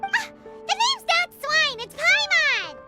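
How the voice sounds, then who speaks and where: A woman voicing a tiny, perky, cheeky fairy companion with a squeaky childlike voice in an anime video game. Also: sing-song, slow pace, full character voice. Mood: indignant. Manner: blunt, teasing, exclamatory. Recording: music playing loudly behind the voice.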